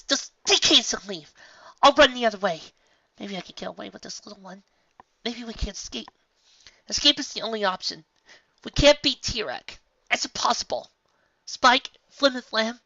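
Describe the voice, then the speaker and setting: A woman's voice: on the high side, a little fast, hardly any pauses, no room sound, distant mic, dull audio, pitched above the performer's own voice. A woman voicing a panicked young female unicorn princess, screaming and near tears. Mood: frantic. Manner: screaming, frantic, tearful.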